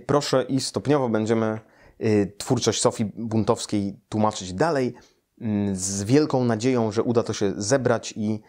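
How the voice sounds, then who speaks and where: A man in his thirties or forties with a fairly low voice. He speaks as a children's storyteller, seated indoors. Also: unhurried, a little mellow. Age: 30s to 40s. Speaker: storyteller and translator reading his translation. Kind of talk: children's story read aloud. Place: small quiet room indoors, seated in an armchair.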